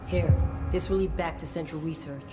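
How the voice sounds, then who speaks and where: An actress playing a brisk, commanding woman scientist in a game. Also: low voice, over music, lightly acted. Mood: firm. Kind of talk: game voice acting.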